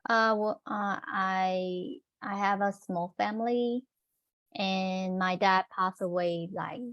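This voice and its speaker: A woman from Taiwan, an English learner, answering questions hesitantly with many pauses. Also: mid-range voice, steady tone, a bit bright, no echo. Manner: hesitant, with many fillers and restarts. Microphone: video call. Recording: dull, muffled video call audio.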